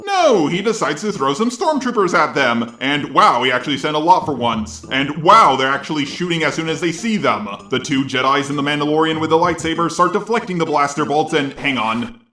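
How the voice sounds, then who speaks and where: A man's voice: fairly high pitch, expressive, a little fast, bright, forceful tone, roomy sound, faint music behind. A man's synthetic robot voice delivering a scripted, sarcastic and informal review narration. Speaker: video reviewer.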